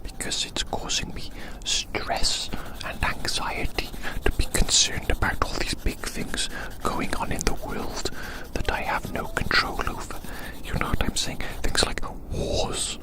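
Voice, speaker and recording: Asmr roleplay person whispering breathy and hushed, rambling softly and repeating little phrases to you. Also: low-quality recording. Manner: hushed, slow repetition of phrases, gently musing. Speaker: ASMR performer. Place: outdoors.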